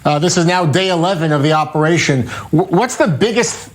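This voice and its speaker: A man's voice: a little high, a little fast. A man working as a news interviewer, putting questions in a broadcast style.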